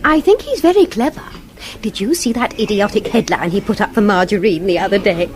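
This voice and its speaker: An actress playing a prim, excitable, gossipy young English office woman in a period radio drama, confiding with indignant emphasis. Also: medium pitch, brisk, background noise, lightly acted. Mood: prim, admiring.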